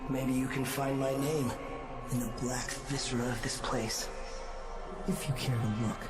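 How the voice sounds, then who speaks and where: An actor playing an enigmatic, detached god in a video game, delivering cryptic lines in a measured, solemn way. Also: male voice, medium pitch, fairly even intonation, lightly acted. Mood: solemn, haunted. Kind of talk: dramatic game cutscene monologue. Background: very loud music.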